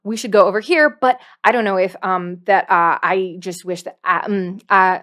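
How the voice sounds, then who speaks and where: A woman's voice: mid-range voice, normal speed, a bit bright, natural voice. A naive young bar worker, acted out by a woman for a tabletop game. The speech is hesitant and nervous, stumbling through ums and uhs and trailing into unfinished thoughts. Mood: nervous, unsure. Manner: hesitant, stammering with constant ums.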